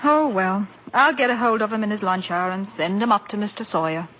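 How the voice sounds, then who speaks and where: A woman playing a brisk, worried store executive in 1940s radio drama, earnest and practical. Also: mid-range voice, loud music behind the voice, natural voice. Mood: puzzled, practical. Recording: dull, faintly hissy 1940s radio broadcast.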